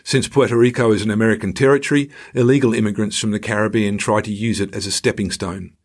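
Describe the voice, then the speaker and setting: A man reading his own scripted travel memoir in a measured storytelling manner with dry irony. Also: fairly low pitch, fairly even intonation.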